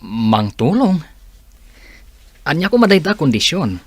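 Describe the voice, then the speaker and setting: A man's voice: fairly high pitch, sing-song, measured pace, slightly rough, dry recording, full character voice. A man acting a curious, hesitant buddy and go-between with an Ilocano accent in a radio comedy drama. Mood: curious, surprised.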